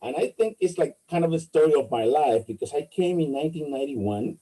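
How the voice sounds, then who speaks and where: A middle-aged man from the Republic, answering interview questions as a candidate, halting with many fillers and restarts. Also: on the high side, animated, dark tone, poor audio. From Dominican Republic. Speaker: political candidate.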